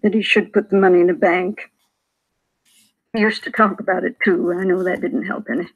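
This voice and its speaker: Hesitant, plaintive elderly widow. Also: female voice, fairly low pitch, steady tone, brisk, vocal fry, narrow, tinny audio. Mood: plaintive, resigned. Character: frail, shaken elderly widow, hesitant crime victim. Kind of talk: 1950s radio drama acting. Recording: vintage 1950s radio broadcast.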